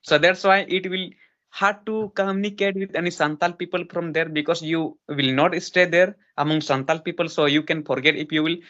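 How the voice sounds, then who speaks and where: Man, earnest lecturing tone, bright forceful voice, dull lo-fi audio. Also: on the high side, steady tone, moderate pace. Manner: earnest, lecturing, many restarts and "you know" fillers. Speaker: host giving advice. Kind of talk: casual English practice conversation.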